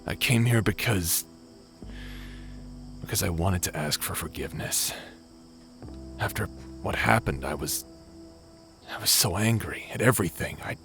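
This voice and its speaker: A man's voice: deep voice, lively intonation, gravelly, a bit bright, soft background music, full character voice. A man playing a remorseful former space pirate captain and awkward brother in a dramatic sci-fi audio roleplay. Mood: remorseful, hesitant. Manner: halting, sighing, hesitant delivery.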